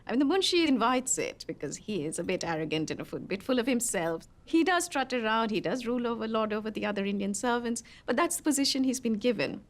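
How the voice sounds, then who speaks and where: A woman gives expert commentary in a documentary interview. Her fairly high voice moves around in pitch, and she lands short phrases with emphasis. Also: lively intonation, fast, hardly any pauses, a little hiss or hum. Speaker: interviewed historian.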